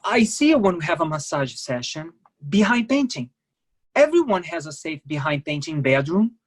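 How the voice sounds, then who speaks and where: Man acting husky, desperate foreign fugitive. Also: fairly high pitch, big pitch swings, normal speed. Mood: coaxing. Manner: broken English, clipped pleading phrases. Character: desperate fugitive foreign male masseur, urgent and pleading. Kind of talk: staged play reading.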